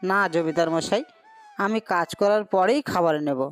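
A woman's voice: fairly low pitch, normal speed, soft background music, close to an everyday voice. A woman voicing a poor, honest young boy in a cartoon, speaking in an earnest, pleading way. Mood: humble pleading. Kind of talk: Bengali cartoon dubbing.

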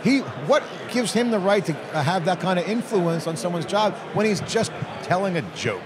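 Brief: middle-aged American man, conference interview host, energetic and emphatic, slightly high voice, crowd chatter around him. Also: moderate pace. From United States. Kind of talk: conversational interview. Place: large hotel hall at a conference. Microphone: handheld mic. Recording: busy crowd chatter behind.

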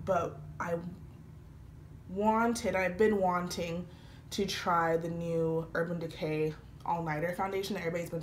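A young woman talking casually through a makeup routine, slightly congested from a cold, chatty and relaxed with frequent fillers. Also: fairly low pitch. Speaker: beauty vlogger. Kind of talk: casual makeup tutorial talk. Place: small indoor room, patterned wall with lights. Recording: roomy echo, faint background hiss.

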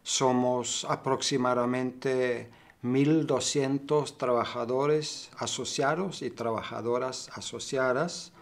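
An older man talks very slowly, stopping often to search for words and restarting phrases. He is from Germany and answers interview questions while seated in a bright room by tall windows. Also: mid-range voice, steady tone. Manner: slow, halting, many fillers and restarts. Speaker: cooperative member.

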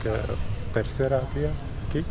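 A man's voice: medium pitch, steady tone, normal speed, background noise. A young man, a native Romanian speaker, vlogging as he chats on a busy street, searching for words and repeating himself. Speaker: travel vlogger. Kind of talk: casual street chat in halting learner's speech. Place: busy city street outdoors. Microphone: handheld camera at arm's length. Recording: outdoor street recording with loud music.

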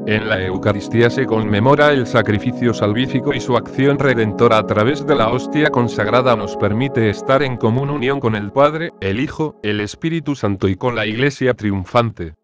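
A man's voice: mid-range voice, normal speed, few pauses, dull audio, music in the background. Man reading a devotional reflection. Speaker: gospel reflection narrator. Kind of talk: scripted devotional narration.

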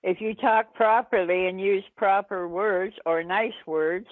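A woman's voice: medium pitch, a little slow, dry recording. An older woman talking on the telephone in a plain-spoken, proper, questioning way. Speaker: prank call recipient. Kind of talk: unscripted phone conversation. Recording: narrow tinny phone line.

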